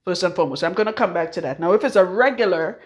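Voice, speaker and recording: A middle-aged Trinidadian woman giving a frank, forceful hair-care talk as a loctician in her salon. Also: lowish voice, fast. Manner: blunt, forceful, frank, swears freely. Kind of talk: casual hair-care tutorial.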